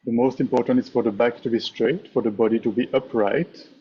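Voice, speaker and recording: A man leading a guided meditation as a teacher, speaking in measured, instructive short phrases with pauses. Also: mid-range voice, steady tone, dull audio, slight background noise. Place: online meditation session over video call.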